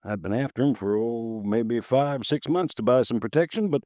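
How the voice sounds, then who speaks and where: A man's voice: medium pitch, normal speed, mellow, dry recording, studio quality, natural voice. An actor playing a stocky, red-faced insurance agent in his late fifties, chatty, folksy and easily riled, in a 1950s radio drama. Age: 50s. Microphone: close studio mic, dry.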